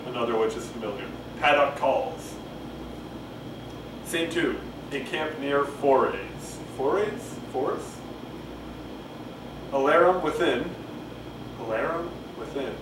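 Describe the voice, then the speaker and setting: A man's voice: mid-range voice, measured pace, pauses often. A man casually reading a play aloud to camera, rambling, hesitant and self-deprecating, with muttered asides. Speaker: amateur play reader. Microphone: camcorder microphone at some distance. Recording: echoey, noisy camcorder audio from far off.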